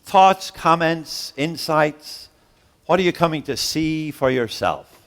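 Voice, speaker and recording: A man's voice: on the high side, animated, fairly bright tone. Man lecturing with preacherly rhythm. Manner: rhythmic, preacherly, with dramatic slow pauses and sudden rises; asks the audience questions. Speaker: guest professor and speaker. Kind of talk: interactive workshop lecture. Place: lecture hall with an audience.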